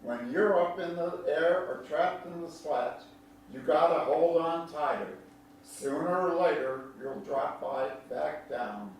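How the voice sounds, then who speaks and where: Kindly older country man, highish voice, folksy drawl, teasing, stage drama, distant microphone. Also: slow. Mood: wise, reflective. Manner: drawling, homespun, playful. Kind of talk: theatrical staged reading. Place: large echoey indoor room at a staged reading. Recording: echoey hall, distant mic, faint noise.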